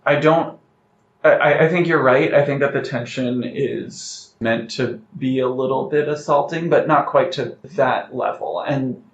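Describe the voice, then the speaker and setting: A man's voice: medium pitch, fairly even intonation, a little slow. Reflective man in a roomy, muffled recording. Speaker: playwright being interviewed. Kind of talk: thoughtful interview conversation. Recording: roomy, slightly muffled, noticeable background noise.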